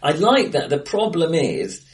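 A man co-hosting a casual film discussion podcast, thinking aloud with many restarts and fillers, recorded face to face in a bright, live room. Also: on the high side, big pitch swings, measured pace, recorded from a distance. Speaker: podcast co-host.

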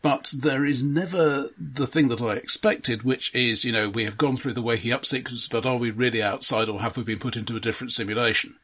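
A man's voice: medium pitch, steady tone, a little fast, hardly any pauses, fairly bright tone, breathy edge. A man co-hosting a film podcast, talking casually in a considered, analytical way and refining his points as he goes. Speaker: podcast co-host.

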